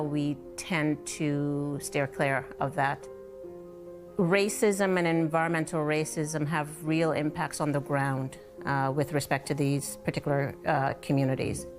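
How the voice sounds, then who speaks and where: A woman giving measured, articulate explanations as a documentary interviewee. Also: deep voice. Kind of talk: documentary interview commentary on environmental racism. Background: instrumental music.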